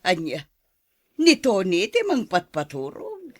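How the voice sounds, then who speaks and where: A woman plays an older mother and grandmother in a radio drama. She speaks with an Ilocano accent and swooping, animated pitch in a dry recording. She is doting yet sceptical. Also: slow pace, no echo, full character voice.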